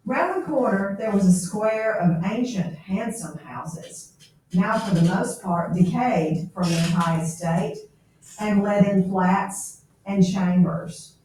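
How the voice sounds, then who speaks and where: Female read-aloud teacher, unhurried, explanatory asides, recorded far off in a very echoey room. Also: medium pitch, darkish tone. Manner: explanatory, checking in with "okay?". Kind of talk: read-aloud of a classic novel with explanatory commentary. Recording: echoey room, distant mic, faint noise.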